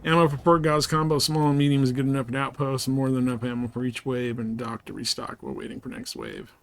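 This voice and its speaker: A man's voice: mid-range voice, steady tone, fast talker, vocal fry. A man giving casual commentary as he livestreams a game. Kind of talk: casual gaming stream commentary.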